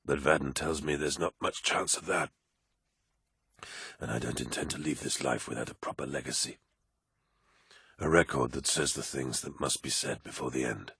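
A man voicing a dying ancient male warlord who speaks in a rasping, wheezing voice. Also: very deep voice, moderate pace, pauses often, a bit bright, dry recording, studio quality, voice pushed down, full character voice. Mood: weary, grave.

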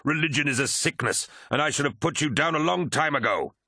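A man's voice: on the high side, fairly quick, bright, forceful tone, breathy edge, no echo, pitched above the performer's own voice, heavily acted. A man performs a fanatical military commander from a science fiction audiobook. He rants with scorn and force, barking orders and contempt. The recording is close and dry. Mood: scornful. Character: contemptuous male war-machine commander, fanatical traitor.

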